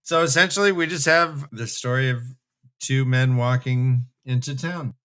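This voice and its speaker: A man's voice: mid-range voice, normal speed, a bit bright. Casual man co-hosting a book podcast. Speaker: co-host of a fantasy book re-read podcast.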